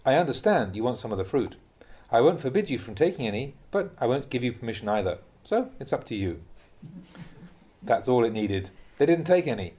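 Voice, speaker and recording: A man reads translated Buddhist teachings aloud in a measured, instructive way with clear phrasing. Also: medium pitch, very animated, dark tone. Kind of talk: audiobook-style reading of spiritual talks.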